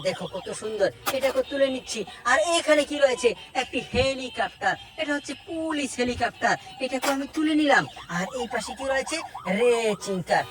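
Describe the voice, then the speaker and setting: Female toy-video presenter, higher-pitched, exclamatory delivery in short phrases addressed straight to viewers. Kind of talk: toy showcase narration for viewers.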